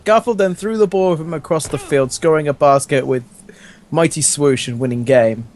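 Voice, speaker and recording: A man's voice: a little high, normal speed, a little mellow, a little hiss or hum. A man casually reading fan fiction aloud and joking while gaming, often breaking into giggles as he goes. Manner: giggly, breaks up laughing mid-sentence. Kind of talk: casual joking read-along during gaming.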